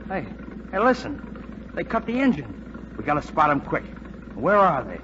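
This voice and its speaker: A cocky soldier in a 1940s war radio play. His voice is highish and swings in pitch, brash and eager. The recording is clearly noisy. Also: wildly swooping pitch, moderate pace, muffled recording. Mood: excited. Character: cocky, eager male machine gunner in a foxhole.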